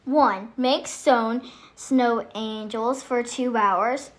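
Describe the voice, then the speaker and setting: A storyteller voicing an earnest, excitable grown man raised by elves, childlike and emphatic, in a storybook read-aloud. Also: mid-range voice, drawn-out words, pitched above the performer's own voice. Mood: eager listing. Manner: exclaiming, wide-eyed, emphatic. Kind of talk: acted storybook character dialogue.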